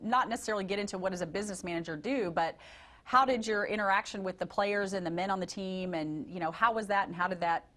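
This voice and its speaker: A woman's voice: mid-range voice, fast talker, a bit bright. A middle-aged woman hosting a wellness TV show, introducing and interviewing her guest in an upbeat, encouraging way. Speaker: TV host and nurse practitioner.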